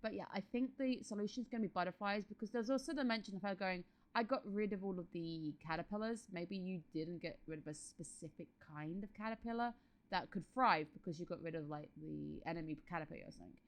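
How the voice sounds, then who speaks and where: A woman with a stuffy cold voice, chatting casually as she reviews an anime episode and thinks out loud. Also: fairly low pitch, fast. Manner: chatty, thinking aloud with restarts. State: congested from a cold.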